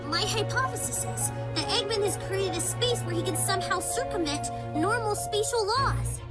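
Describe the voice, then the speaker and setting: A woman's voice: very high voice, lively intonation, normal speed. A woman voicing a brainy, earnest little boy fox inventor in a fan comic dub, speaking precisely like a young scientist. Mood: fascinated. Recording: loud music behind.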